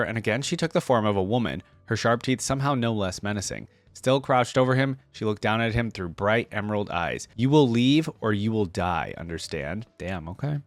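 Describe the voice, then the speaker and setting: Male reaction host, story reader with casual commentary, mid-pitched voice, very dry recording. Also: dry recording. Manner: informal asides. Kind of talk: reading stories aloud with casual reaction commentary.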